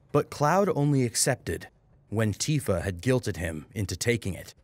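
A man's voice: on the low side, no room sound. A man narrating a scripted story as a dramatic audiobook reader. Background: music.

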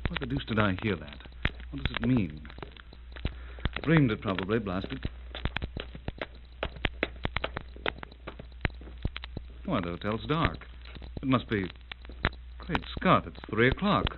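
An actor playing a young adventurer under a spell, speaking with flat, entranced insistence in an old radio play. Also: male voice, on the low side, moderate pace, long pauses. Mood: bewildered. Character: dazed, hypnotized adventurer, young man. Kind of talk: 1930s radio acting. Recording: tinny, very noisy old radio transcription.

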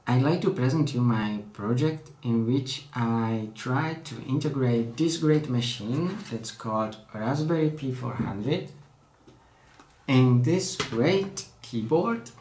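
A man's voice: medium pitch, expressive, slow pace, darkish tone, echoey room, poor audio. A young man from the Czech Republic with a Czech accent, speaking careful non-native English as he shows off a hobby project at his desk at home. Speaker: hobbyist vlogger. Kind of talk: casual tech demo. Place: small room at home, indoors at a desk.

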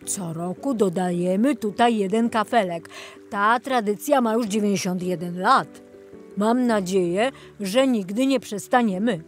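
A woman's voice: mid-range voice, unhurried, faint music behind, natural voice. A woman voicing a jolly, absent-minded older male tour guide in a children's storybook, rambling and enthusiastic. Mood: chatty, proud.

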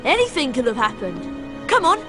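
Very high, excitable schoolboy sidekick. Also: female voice, brisk, loud music behind the voice. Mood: urgent. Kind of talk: video game cutscene acting.

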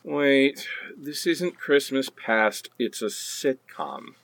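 A man's voice: mid-range voice, very slow, creaky, noisy background, exaggerated voice. A man playing a flippant, wisecracking character in a comic radio play. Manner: flippant, animated. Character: wisecracking, flippant man, skeptical holiday time traveler.

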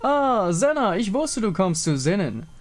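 A man's voice: high voice, very animated, measured pace, faint music behind, pitched above the performer's own voice. A man voicing a vain, lovesick villain king, theatrical and condescending. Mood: gloating.

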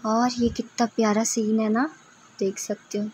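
Female travel vlogger, casual and chatty, higher-pitched, recorded with clear ambient noise. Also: flat delivery, measured pace, darkish tone, low-quality recording. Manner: chatty, addresses viewers as friends. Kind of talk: casual vlog narration.

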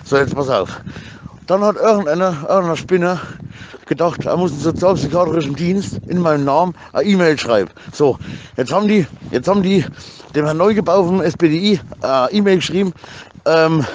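A man's voice: a little high, fairly quick, a little mellow, a little hiss or hum. A man chatting casually in an agitated, rambling way, stammering over repeated words, with slangy, crude swearing. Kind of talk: casual chat, agitated rant.

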